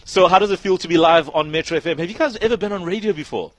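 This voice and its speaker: A man's voice: high-pitched, racing, very noisy. A man hosting a live breakfast radio show from a school, playful and teasing. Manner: playful, teasing, laughs often. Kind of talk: lively live radio chat. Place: school, outside broadcast.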